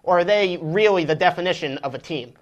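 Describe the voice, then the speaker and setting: A man's voice: sits high, a little fast, lo-fi sound. Young male co-host asking long questions. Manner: earnest, long set-up questions.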